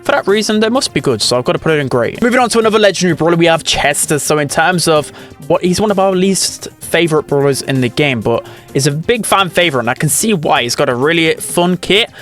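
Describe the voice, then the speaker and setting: Higher-voiced young man over faint music. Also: animated, fast talker, a bit bright. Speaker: gaming video host. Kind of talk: casual ranking commentary.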